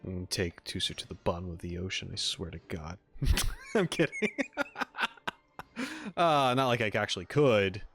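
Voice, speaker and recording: A man's voice: deep voice, normal speed, pauses often, fairly bright tone, soft background music. A man commentating casually on a game as a streamer, full of joking, mock-outraged asides. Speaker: gaming streamer.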